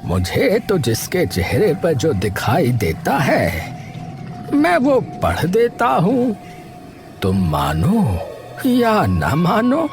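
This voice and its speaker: A man playing a calm, knowing old seer in an animated story, speaking in a deliberate, prophetic manner. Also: on the high side, full character voice. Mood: calm, ominous. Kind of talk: Hindi animated story dialogue. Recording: loud background music.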